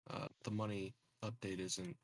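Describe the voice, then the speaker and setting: A man's voice: on the low side, little pitch movement, normal speed, vocal fry, no echo. A man chatting casually as a player in a tabletop game. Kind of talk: casual gaming chat.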